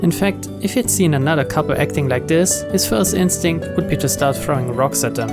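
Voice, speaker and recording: Man narrating over clear background music. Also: a little high, a little fast. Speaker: let's play host. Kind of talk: reading visual novel narration aloud. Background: game music.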